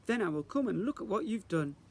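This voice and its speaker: Fussy male fairy teacher for a storybook, played by a man: high, swooping, emphatic and strict, slightly noisy recording. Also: sing-song, a little fast, gravelly, breathy edge, full character voice. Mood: brisk, eager. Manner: emphatic, instructing. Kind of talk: children's storybook character voice.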